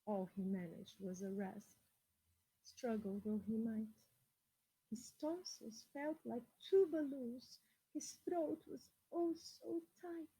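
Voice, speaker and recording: Woman, children's story reader, highish husky voice, slow pace, animated, expressive rhyming read-aloud. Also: rough recording. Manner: animated, addressing young children directly. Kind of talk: expressive picture-book read-aloud in rhyme.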